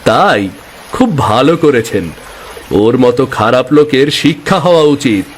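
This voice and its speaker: A man's voice: medium pitch, animated, a little slow, husky. A man playing a humble young boatman in a cartoon fairytale, polite and earnest in his speech. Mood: concerned.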